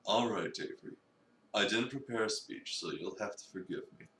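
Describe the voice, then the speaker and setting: Man playing an eccentric cafe owner, low voice, solemn, theatrical, measured, echoey noisy visual novel reading. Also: a bit bright. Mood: composed. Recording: echoey room, distant mic, noisy.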